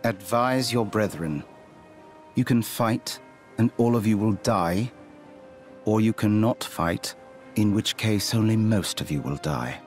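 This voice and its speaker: A cold sea commander in a video game. His low voice moves slowly and deliberately, full of menace, with music behind it. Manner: measured, deliberate threats. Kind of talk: dramatic video game acting.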